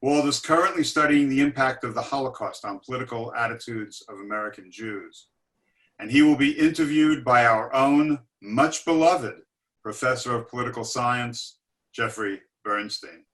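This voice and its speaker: Formal man with a bright, crisp-edged voice. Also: medium pitch, normal speed, poor audio. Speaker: academic director introducing a lecture. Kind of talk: formal scripted event introduction. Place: online webinar.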